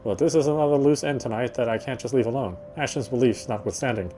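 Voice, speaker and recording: A man's voice: medium pitch, fast talker, warm tone, slight background noise. A man reading a visual novel's narration aloud as he plays, now and then stumbling and rereading phrases.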